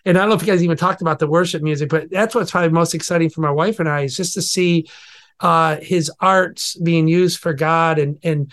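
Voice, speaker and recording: A man's voice: on the high side, fairly even intonation, a little fast, a bit bright. A middle-aged man speaking about his son with pride, rambling with many restarts and fillers. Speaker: guest's father. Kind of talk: casual chat.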